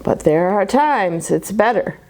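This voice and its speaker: Lady reading a kids' rhyming story real slow, lots of expression and little whispers. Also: mid-range voice, slight background noise. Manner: expressive rhyming read-aloud with dramatic whispers. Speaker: children's storyteller. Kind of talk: reading a picture book aloud to children. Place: church.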